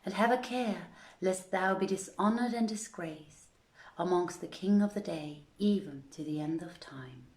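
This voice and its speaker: A woman reads tales aloud in a fairly low voice, taking her time over each phrase. Her delivery is deliberate and informal, like a live storybook session. The room around her adds a clear echo. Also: a little mellow. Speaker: reader in a theatre project's online reading series.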